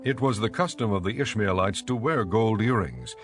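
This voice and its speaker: Low-voiced male scripture narrator. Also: normal speed, fairly bright tone, soft background music.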